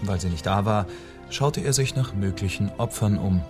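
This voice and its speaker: An actor playing the storyteller of a whimsical fairy tale, narrating in a scripted radio play. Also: male voice, lowish voice, normal speed, lightly acted. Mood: storytelling. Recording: music under the voice.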